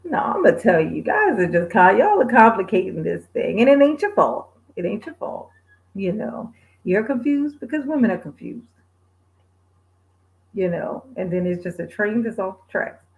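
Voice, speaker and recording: A woman in her forties hosting a livestream, chatting casually with viewers, laid-back and quick to laugh. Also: mid-range voice, very animated, gravelly, poor audio. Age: 40s. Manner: laid-back, laughs often, sings bits. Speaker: livestream host. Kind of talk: casual chat reading viewer comments.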